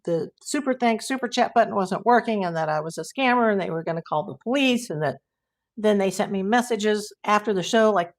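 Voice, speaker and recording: A woman hosting a casual livestream, hoarse and losing her voice, rambling in exasperation while she reads viewers' comments. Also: mid-range voice, expressive, fairly quick. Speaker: YouTube livestream host.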